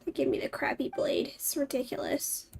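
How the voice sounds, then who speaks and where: A woman's voice: high voice, huge pitch swings, normal speed, creaky, a little hiss or hum. A woman streamer giving casual live game commentary in offhand, wry asides. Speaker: gaming streamer.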